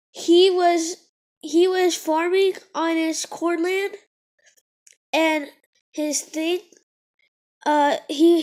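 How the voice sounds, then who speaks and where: A young child vlogger who is sick, rambling through a casual monologue with lots of fillers and restarts. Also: female voice, very high voice. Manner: halting, many fillers and restarts.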